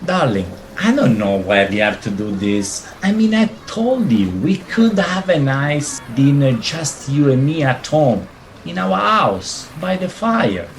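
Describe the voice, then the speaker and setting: A man's voice: on the high side, sing-song, measured pace, slight background noise. A man playing a plaintive, doting Italian husband in a radio play, theatrical and reluctant. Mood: pleading, worried.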